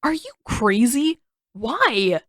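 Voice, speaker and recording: Woman voicing an emphatic, high-pitched teenage girl. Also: very animated, slow, a bit bright, no echo, studio quality, exaggerated voice. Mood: indignant. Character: indignant teenage girl, stubborn, slyly cheerful schemer.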